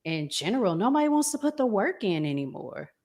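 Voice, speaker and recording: A woman with a Southern American accent hosting a casual talk show, chatty and prone to pausing and restarting. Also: medium pitch, sing-song, a little mellow. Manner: chatty, pauses and restarts a lot. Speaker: show host. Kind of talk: casual podcast chat.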